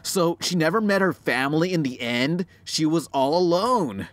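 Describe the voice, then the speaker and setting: A man's voice: on the high side, expressive, normal speed, pitched above the performer's own voice, close to an everyday voice. A man voicing an anxious, lonely young girl from a video game in a plaintive, melodramatic manner. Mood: earnest, sad. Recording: clear background noise.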